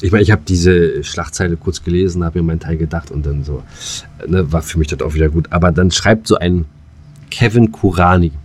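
A man's voice: deep voice, a little fast, darkish tone, a little hiss or hum. A man co-hosting a podcast in casual, blunt, slangy banter that tips into ranting. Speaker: podcast co-host.

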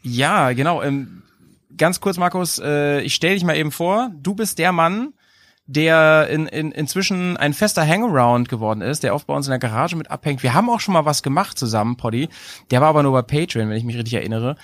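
Chatty German podcast host, dry sound. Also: male voice, fairly high pitch, a little fast, no room sound. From Bremen, Germany. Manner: lively, joking, chatty. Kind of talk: casual chat.

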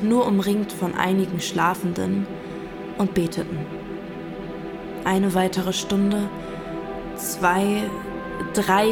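A woman narrating a radio drama in first person, earnest and emotional, as a young pilgrim recalling events. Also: medium pitch.

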